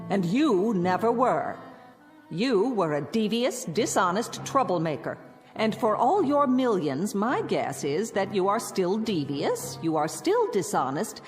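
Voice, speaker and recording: An actress playing a stern, unforgiving older schoolmistress in a radio drama, speaking in a prim, scolding, articulate way. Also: female voice, mid-range voice. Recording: reverberant radio drama with music underneath.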